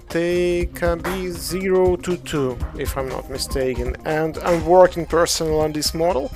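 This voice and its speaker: A speaker from Ukraine with a Ukrainian accent, a game developer casually walking through models on a livestream and answering chat in halting English full of hesitations. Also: mid-range voice, a little mellow. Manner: halting non-native English, frequent hesitations.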